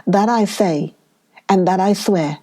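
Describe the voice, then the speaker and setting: A woman's voice: medium pitch, takes it slowly, dark tone. A woman doing a blunt, stubborn servant woman. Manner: blunt and emphatic.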